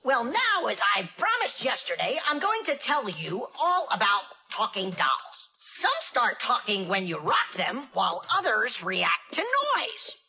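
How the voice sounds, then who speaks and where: A woman voicing a reassuring schoolteacher in an animated children's cartoon. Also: medium pitch, a little slow, few pauses, slightly rough, exaggerated voice. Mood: cheerful, instructive. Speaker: teacher.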